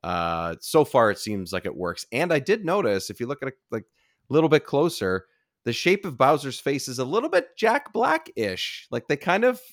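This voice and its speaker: A man's voice: medium pitch, big pitch swings, normal speed, dry recording, studio quality. A man in a casual podcast discussion, conversational, often repeating and rephrasing his points.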